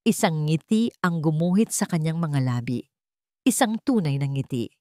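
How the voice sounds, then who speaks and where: A woman's voice: sits low, expressive, normal speed, a little mellow, no echo, studio quality. A woman with a Filipino accent reading a scripted dramatic story as narrator.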